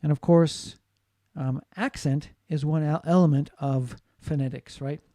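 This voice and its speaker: A man's voice: a little high, steady tone, unhurried, mellow, dry recording, clean studio sound. A man from the United States giving a classroom lecture on phonetics, explaining steadily and often asking "right?" to check in with students. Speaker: English teacher.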